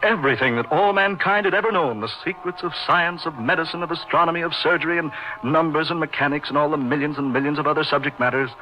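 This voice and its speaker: An actor playing an earnest, awestruck young doctor telling a dark tale in a theatrical, emphatic old-time radio style. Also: male voice, fairly high pitch, quick pace, a little hiss or hum. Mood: awed, ominous. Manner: theatrical, florid, emphatic delivery. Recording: muffled radio drama recording.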